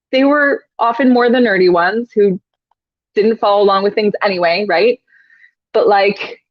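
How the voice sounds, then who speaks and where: A woman's voice: medium pitch, dry recording. Chatty woman on a dull, dry line. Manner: chatty, laughs often, lots of "like". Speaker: yoga teacher, podcast guest. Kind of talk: casual interview chat.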